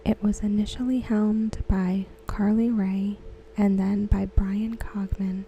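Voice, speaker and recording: Very soft, mellow, slow ASMR woman. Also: medium pitch, slight background noise, poor audio. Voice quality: soft, breathy, near-whisper. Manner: gentle, unhurried, soothing. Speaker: ASMR host. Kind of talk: soft-spoken ASMR reading.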